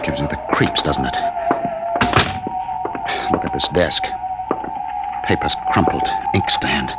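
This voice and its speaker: An actor playing a commanding, wary spaceship captain who gives brisk orders in a vintage radio drama. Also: male voice, very deep voice, loud music behind the voice. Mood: wary, uneasy. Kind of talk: mid-century radio drama acting. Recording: noisy vintage radio recording.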